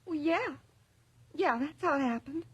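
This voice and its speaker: A woman's voice: sits high, animated, measured pace, no room sound, highs cut off. An actress playing an anxious, guilt-ridden young girlfriend in a radio drama, fretful and pleading. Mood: uneasy. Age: young adult.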